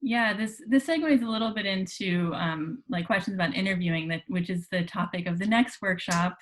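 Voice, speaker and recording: A woman's voice: medium pitch, fairly quick. A woman moderating an online workshop panel, chatty with lots of fillers, speaking over a video call. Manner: chatty, many fillers and restarts. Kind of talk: casual panel discussion.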